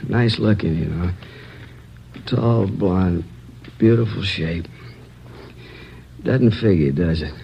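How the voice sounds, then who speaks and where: A man's voice: low voice, slow pace, gravelly, pauses often, lo-fi sound, a little hiss or hum, exaggerated voice. An actor playing a badly hurt male robbery victim lying in a hospital bed, weak and worried, in 1950s radio drama acting on an old recording. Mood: weary.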